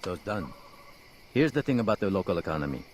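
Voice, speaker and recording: An actor playing a menacing, businesslike militia boss in a video game, blunt and dryly sarcastic. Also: male voice, low voice, sing-song, fast, slight background noise. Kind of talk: video game cutscene acting.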